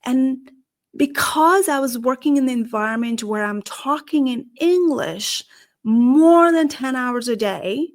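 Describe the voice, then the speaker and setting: A woman's voice: high-pitched, expressive, unhurried. A middle-aged woman from Nepal with a Nepali accent, talking earnestly to camera as a career show host and posing questions to her listeners. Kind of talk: solo talk to camera.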